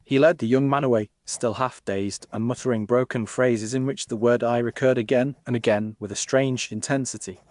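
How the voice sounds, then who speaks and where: A man narrating a scripted period mystery in a formal, literary, measured style. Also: medium pitch, steady tone, a little mellow, dry recording, clean studio sound.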